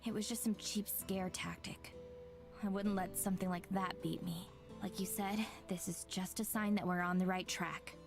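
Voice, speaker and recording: A woman's voice: on the low side, steady tone, normal speed, lightly acted. A woman playing a vulnerable young woman in a visual novel who stubbornly holds back tears. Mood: brave, defensive. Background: prominent game music.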